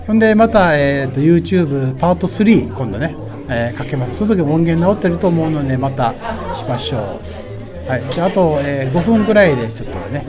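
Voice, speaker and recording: Woman, Japanese accent, native Japanese, chatty radio host, swooping intonation, music and crowd chatter behind her. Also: low voice. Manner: chatty, many short interjections. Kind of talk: casual live radio interview, switching between two languages. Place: busy market pop-up event. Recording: background music and crowd chatter at live event.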